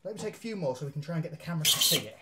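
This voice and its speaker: A man's voice: a little high, lively intonation, rapid-fire, heavy background noise. Chatty man in a workshop. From England. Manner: chatty and down-to-earth, with mild swearing and local slang; talks while handling and planing wood at a bench. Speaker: woodworking teacher. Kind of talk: casual workshop demonstration. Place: indoor workshop with brick walls.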